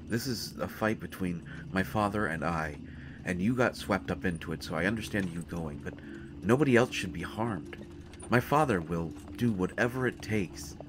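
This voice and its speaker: A man playing a brooding young prince in a fantasy audio drama, speaking to the listener in a halting, earnest, dramatic way. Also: fairly low pitch, background noise, natural voice. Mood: alarmed, resolute. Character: brooding young male prince at war with his father. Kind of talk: fantasy roleplay audio acting addressed to the listener. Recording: music behind the voice.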